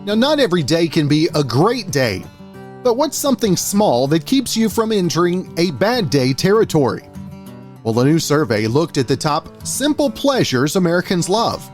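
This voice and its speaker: A male radio host with a fairly high voice talks in a friendly, folksy way. He often restarts his questions. Faint music plays behind him. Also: sing-song, moderate pace. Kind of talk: casual radio interview.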